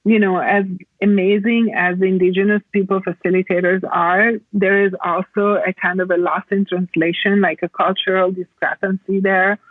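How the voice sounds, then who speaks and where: A woman from Italy, explaining reflectively as a podcast guest in a halting, conversational way over a remote call. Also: mid-range voice, level tone, no room sound, phone-quality sound. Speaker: podcast guest, plant medicine practitioner.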